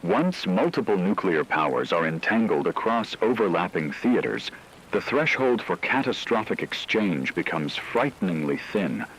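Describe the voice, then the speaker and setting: Deep-voiced, ominous radio war correspondent played by a man. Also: sing-song, moderate pace, fairly bright tone, a little hiss or hum, heavily acted, low-quality recording. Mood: grave, foreboding. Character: grave male radio war analyst warning of catastrophe. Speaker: news correspondent. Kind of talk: scripted emergency radio broadcast.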